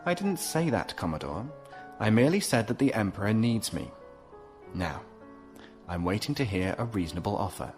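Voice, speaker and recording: Low-voiced, smug genius inventor played by a man. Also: big pitch swings, faint music behind. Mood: smug, commanding. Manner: measured, drawling, suavely condescending. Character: smug, unflappable male genius inventor held prisoner. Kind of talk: science fiction audio drama acting.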